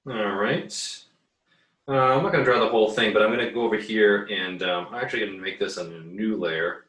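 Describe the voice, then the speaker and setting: A man giving a casual drawing tutorial as an art teacher, thinking aloud in a halting, muttering way while he works. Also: on the low side, a bit bright. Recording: roomy echo, distant microphone.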